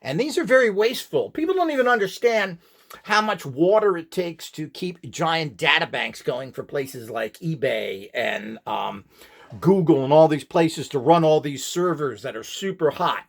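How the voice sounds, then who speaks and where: A man giving an informal, rambling lecture as an instructor, opinionated and emphatic, with a gravelly voice. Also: on the high side, animated, normal speed. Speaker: instructor in radionics.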